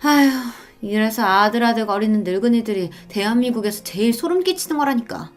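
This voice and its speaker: A woman's voice: on the high side, expressive, brisk, soft background music, voice pushed up, close to an everyday voice. A woman voicing a cold, contemptuous younger daughter-in-law in a radio story, curt and brazen. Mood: sneering, contemptuous.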